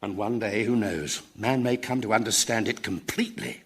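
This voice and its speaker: A British man playing an earnest, touchy, excitable academic in a radio sitcom, husky-voiced, pedantic and easily flustered. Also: lowish voice, moderate pace. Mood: indignant, earnest. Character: earnest, excitable, touchy dead physics professor.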